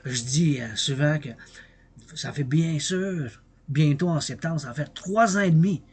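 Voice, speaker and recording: A man's voice: fairly high pitch, measured pace, breathy edge. A man from Quebec with a Québécois accent, rambling on a livestream in French and English, repeating himself and breaking into song. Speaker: vlogger. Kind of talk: bilingual rambling livestream monologue.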